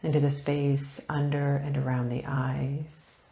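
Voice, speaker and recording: A woman leading a guided meditation, speaking softly and soothingly in unhurried phrases with long pauses, turning breathy at phrase ends. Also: rumbling low, flat delivery, roomy sound. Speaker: meditation teacher.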